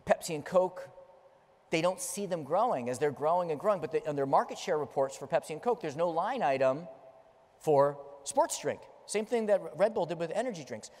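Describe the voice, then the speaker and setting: A middle-aged man speaks from a large conference stage, his somewhat high voice driving emphatic, story-led points. His words trail off into the long reverberation of a big hall. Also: animated, a little fast, darkish tone. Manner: emphatic, storytelling delivery, gesturing while pacing the stage. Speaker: keynote speaker. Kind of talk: conference keynote speech.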